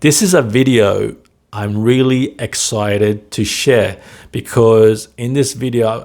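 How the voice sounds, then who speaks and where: A man who works as a stutter coach, talking to camera at a slow, deliberate pace with frequent pauses and "right?" tags. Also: mid-range voice, a little mellow. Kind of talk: motivational talk to camera.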